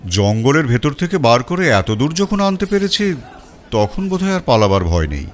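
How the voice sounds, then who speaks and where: A man narrating a detective story in the first person, in an expressive, dramatic reading style. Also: mid-range voice, normal speed, slight background noise. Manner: expressive, rising and falling. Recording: faint music bed.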